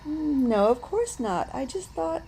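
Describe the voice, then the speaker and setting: An actress playing Bee, a vengeful woman who stings rude people, in a radio drama with a slow, measured delivery. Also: high-pitched, big pitch swings, mellow, a little hiss or hum, exaggerated voice, low-quality recording. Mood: wistful.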